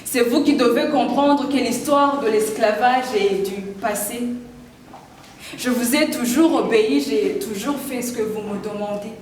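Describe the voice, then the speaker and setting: Teen girl, defiant declamatory monologue, slightly high voice, far off in an echoing hall. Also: measured pace, a little mellow, a little hiss or hum. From Burundi. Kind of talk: dramatic monologue at a school event. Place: large hall. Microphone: far from the microphone.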